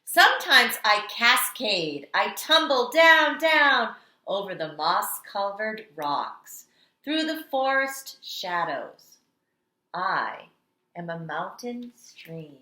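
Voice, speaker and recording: A woman kindergarten teacher reading aloud to young children with a dramatic, deliberate, expressive delivery. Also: mid-range voice. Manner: dramatic, deliberate delivery with strong swells and hushed endings. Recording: echoey, roomy sound.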